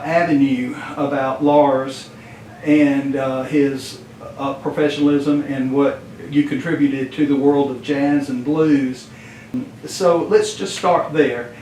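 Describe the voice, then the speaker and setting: A man's voice: fairly high pitch, darkish tone. A man hosting a friendly interview and opening it with long, rambling introductions. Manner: rambling, lots of filler and restarts. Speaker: interviewer, host. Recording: echoey room, far from the mic, faint hiss.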